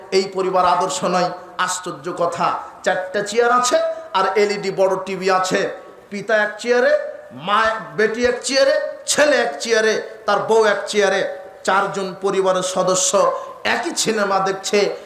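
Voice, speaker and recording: A male Islamic preacher with a Bangladeshi accent delivers a fiery sermon in a high, piercing voice. He exhorts his listeners with emphatic, repeated phrases and a sharp, tense edge. The sound is strongly echoey. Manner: forceful, exhorting, emphatic repetition. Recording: echoey sound with faint background noise.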